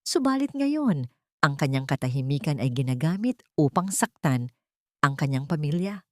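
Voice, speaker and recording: A Filipino woman narrating a scripted drama as a storyteller, with a measured, dramatic delivery. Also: low-pitched, very animated, darkish tone, no echo, clean studio sound.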